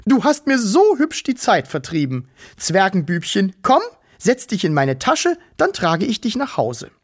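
A man reading a story aloud, voicing a silly, doting middle-aged woman who coos and fusses in a high, sing-song voice. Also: wildly swooping pitch, unhurried, heavily acted. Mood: fussing. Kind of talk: audiobook character voice.

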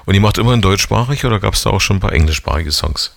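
A man's voice: on the low side, animated, fast, gravelly, fairly bright tone, dry recording. A man in his forties hosting a casual radio interview, asking chatty, teasing questions. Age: 40s. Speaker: radio host.

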